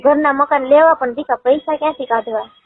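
A woman's voice: high voice, fairly even intonation, fairly quick, no echo. A woman with a Gujarati accent chatting casually and intimately on a phone call, affectionate and fond of pet names. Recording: tinny, narrow phone-call line.

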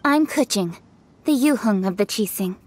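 A woman's voice: mid-range voice, animated, moderate pace, over music, close to an everyday voice. A woman voicing a confident, blunt young noblewoman and official in a fantasy video game, formal and assertive. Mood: brisk, self-assured.

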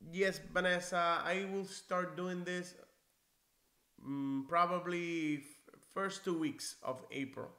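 A man's voice: high-pitched, steady tone, slow, bright, forceful tone, reverberant. A man in his forties from Cuba, an instructor answering viewer questions on a live stream in a friendly, encouraging way, seated at a desk in a small office. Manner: friendly, encouraging, conversational with restarts. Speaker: NCLEX prep instructor. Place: small indoor office, seated in leather chair.

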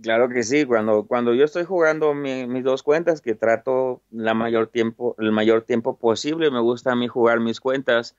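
A man's voice: medium pitch, normal speed, hardly any pauses, dry recording, highs cut off. A young man with a Mexican Spanish accent, answering interview questions casually and repeating his words as he talks. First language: Italian-born, raised in Mexico. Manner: friendly, repeats words, frequent fillers. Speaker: interview guest, top game player.

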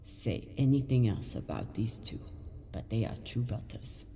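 Low-voiced, guarded spaceship captain. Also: female voice, lively intonation, a little slow, warm tone, big-room sound, a little hiss or hum, exaggerated voice. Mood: reflective. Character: guarded, hard-edged female spaceship captain. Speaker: game protagonist. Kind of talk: video game voice acting.

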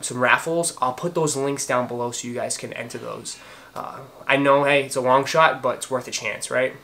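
A man's voice: mid-range voice, sharp tone. A man in his thirties from Boston with a Boston accent, talking casually to camera in a room at home, chatty and enthusiastic with many fillers and restarts. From Boston, Massachusetts. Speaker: sneaker vlogger. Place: home room with shoe shelves, indoors.

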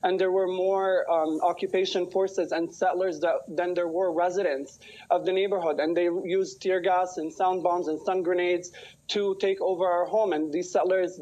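A man in his twenties from Palestine speaks on a slightly dull video-call line. His voice is high for a man, and he talks in an articulate, emphatic way as an interview guest. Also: a little mellow. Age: 20s. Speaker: news interview guest, writer and poet. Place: small room at home, indoors.

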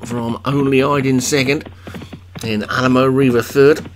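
A man commentating a video game horse race for an online league, chatting between calls, his voice getting croaky. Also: medium pitch, level tone, a little slow.

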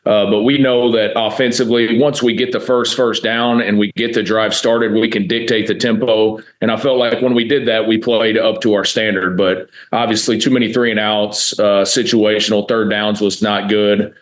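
An American man in his thirties, a football coach answering press questions in a measured, matter-of-fact way. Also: on the low side, flat delivery. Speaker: college football offensive coordinator. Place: indoor press room, seated at a table before a backdrop.

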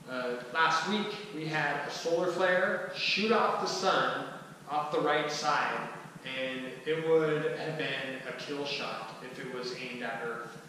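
A man in his thirties giving an informal, conversational explanatory talk to a small group, with somewhat halting delivery. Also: on the high side, bright, forceful tone. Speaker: presenter at a skillshare. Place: large indoor room with wooden floor and mirror. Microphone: distant camera microphone. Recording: echoey hall, far from mic, faint noise.

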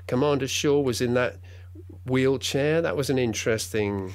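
A middle-aged man chats eagerly as a podcast guest, his voice sitting at an ordinary pitch. He rambles, restarts his sentences and slips in playful jokes. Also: moderate pace, a little hiss or hum. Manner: enthusiastic, rambling with many restarts; playful, jokey. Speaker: guest, radio drama writer and director. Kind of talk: casual conversation.

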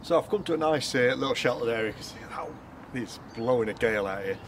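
A man's voice: medium pitch, animated, brisk. A man in his thirties with an English accent, giving a casual, colloquial explanation as a fishing vlogger. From United Kingdom. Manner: informal, colloquial. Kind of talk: casual explanatory vlog chat. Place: outdoors on a canal bank. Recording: noisy outdoor ambience.

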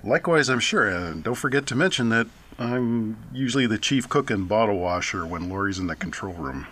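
A man's voice: on the low side, lively intonation, brisk, a bit bright, slightly rough. A man, an author guest, giving explanatory answers in a radio interview.

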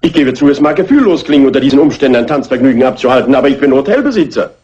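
Male hotelier played by an actor: quick, animated, flustered, complaining. Muffled old crime-film dub. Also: medium pitch, natural voice. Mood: indignant, flustered. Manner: agitated, complaining. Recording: dull, lo-fi old film soundtrack.

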